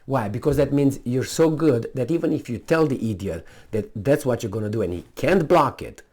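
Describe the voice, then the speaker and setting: A man's voice: medium pitch, animated, normal speed, darkish tone. A man with a Romanian accent gives casual, unscripted political commentary in a blunt, emphatic, rambling way full of asides and repetition.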